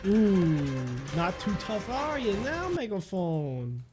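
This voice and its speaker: Young dude joking through gameplay, mock serious and excitable, music playing behind. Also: male voice, sits high, takes it slowly. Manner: playful, exclamatory, mock-serious. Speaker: gaming video host. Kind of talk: casual joking gameplay commentary.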